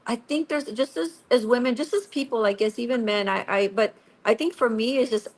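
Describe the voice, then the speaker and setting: A woman's voice: a little high, fairly quick. A woman, a singer-songwriter speaking as a guest in a casual radio interview, rambling with many restarts and acting out quoted conversations.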